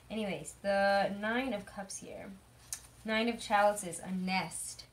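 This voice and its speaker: Hoarse young woman chatting casually. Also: mid-range voice, takes it slowly. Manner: chatty, rambling, many fillers. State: hoarse from illness. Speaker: tarot reader. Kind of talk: casual tarot reading monologue.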